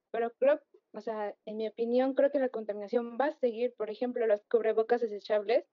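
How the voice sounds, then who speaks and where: A teenage girl joins a casual podcast discussion. Her intonation stays quite flat, and the sound is dry and a touch dull. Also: on the high side, no echo. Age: teens, secondary school student. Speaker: podcast co-host.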